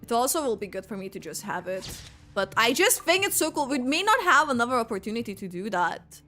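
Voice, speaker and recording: A woman game streamer giving excitable, halting reaction commentary as she plays. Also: on the high side, soft background music. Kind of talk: live gameplay reaction commentary.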